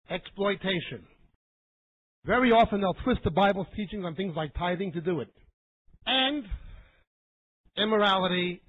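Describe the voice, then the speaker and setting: A man's voice: sits high, breathy edge. A middle-aged man teaching the Bible in an emphatic, didactic sermon style, repeating phrases for weight. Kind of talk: sermon-like teaching lecture to a live audience. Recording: narrow, phone-like band.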